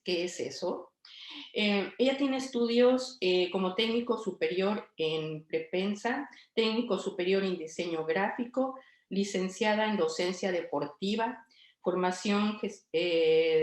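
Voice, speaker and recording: A woman's voice: medium pitch, echoey room. A woman hosting an interview, speaking conversationally with frequent fillers and restarts. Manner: warm-paced, hesitant with many fillers. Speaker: interview host.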